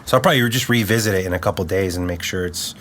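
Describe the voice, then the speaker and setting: Male podcast guest, congested and easygoing, lowish voice, slight background noise. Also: very fast, fairly bright tone. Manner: easygoing, short replies. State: congested, stuffed up. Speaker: guest music producer. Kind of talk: casual chat.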